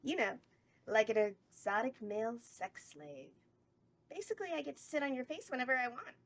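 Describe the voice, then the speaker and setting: High-voiced, flirty succubus clown hostess, woman acting. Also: very animated, normal speed. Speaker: actor reading a horror hostess at table read. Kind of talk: campy horror host table-read performance.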